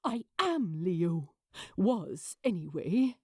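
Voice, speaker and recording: A woman voicing a gentle, dejected, bewildered man in a story, speaking hesitantly. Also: lowish voice, big pitch swings, very slow, husky, dry recording, studio quality, heavily acted.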